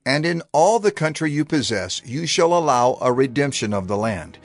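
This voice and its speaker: A man giving a measured, solemn scripted narration for a dramatized audio Bible. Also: mid-range voice, big pitch swings.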